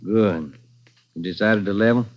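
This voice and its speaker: A male actor voices an easygoing detective partner who grumbles about family troubles. His voice sits slightly low. The old radio recording sounds dull. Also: unhurried, lightly acted. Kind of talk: 1940s radio acting.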